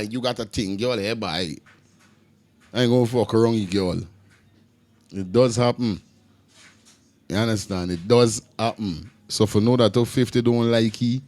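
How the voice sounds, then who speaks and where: A man's voice: medium pitch, a little mellow. Guyanese man, rambling reaction host. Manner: loose, rambling, repeats himself with Creole grammar. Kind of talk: casual reaction commentary.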